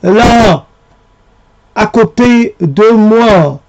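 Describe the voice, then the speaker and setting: A man with a high-pitched voice recites poetry at an extremely slow pace. He breaks the text into short, separated phrases, so the delivery is halting and spoken piece by piece. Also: expressive, darkish tone.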